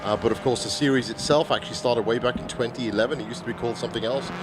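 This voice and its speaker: Hoarse male race commentator over loud track noise. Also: medium pitch, steady tone, rapid-fire. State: slightly hoarse from previous day's commentating. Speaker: motorsport commentator. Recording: loud engine and outdoor circuit noise. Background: cars and trackside ambience.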